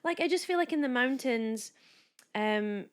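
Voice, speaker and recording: A woman's voice: fairly high pitch, a little slow, smooth. Chatty woman in her late thirties. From Kuwait. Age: late 30s. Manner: chatty and animated, many "like"s and restarts. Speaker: podcast guest, wellness coach. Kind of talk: casual chat.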